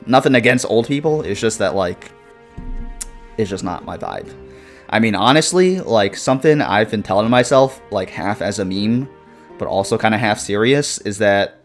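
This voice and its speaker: A young man in his twenties on a casual live stream, talking in a lowish voice as he rambles through viewer comments. He swears freely and fills his talk with "like" and quick laughs. Faint music plays underneath. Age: 20s.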